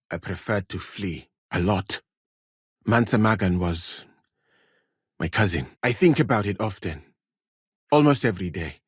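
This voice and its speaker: Casting: courteous, learned traveler-physician for a game; lowish husky voice, formal careful wording, expressive swings in pitch. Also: male voice, no echo, studio quality. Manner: measured, formal, careful phrasing. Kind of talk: video game character dialogue.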